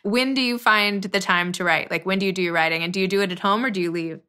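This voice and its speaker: A woman hosting a podcast, talking informally and casually with her guest in a halting, conversational way. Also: mid-range voice, sharp tone, clear, resonant tone. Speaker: podcast host, interviewer. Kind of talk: casual chat.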